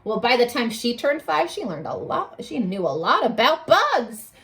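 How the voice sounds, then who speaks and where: A woman reading aloud to young children like a teacher, expressive and exclamatory, pausing to coax them with questions. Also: on the high side. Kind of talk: animated children's read-aloud with questions to listeners.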